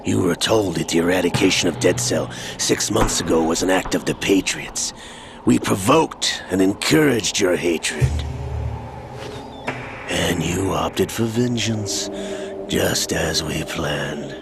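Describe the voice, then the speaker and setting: An actor playing a cold, scheming older male villain in a video game, calm, measured and mocking as he lays out a plot. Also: low voice, creaky, heavily acted. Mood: smug, coldly explaining. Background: game score music.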